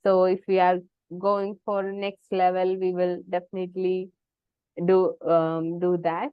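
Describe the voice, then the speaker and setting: A woman leads an online group session, pausing and restarting often. Her voice is smooth and clear, her delivery slow and flat. The call audio is dull and dry. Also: lowish voice, no room sound. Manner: hesitant, many restarts and "like" fillers. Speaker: session facilitator.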